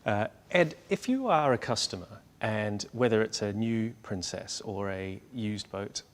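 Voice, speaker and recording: A man's voice: on the low side, lively intonation, unhurried. Chatty male host in a reverberant room. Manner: chatty, stumbles and repeats words. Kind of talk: hosting an interview.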